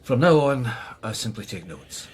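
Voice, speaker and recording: An actor playing an officious, formal and pedantic police complaints inspector in a radio drama. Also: male voice, mid-range voice, very animated, moderate pace, a little hiss or hum, close to an everyday voice.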